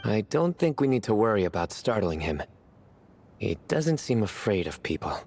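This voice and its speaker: A man's voice: lowish voice, big pitch swings, normal speed, darkish tone, breathy edge, full character voice. An actor playing a cocky, bantering young male adventurer in a video game, teasing and theatrical. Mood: casual. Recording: faint game music behind voice.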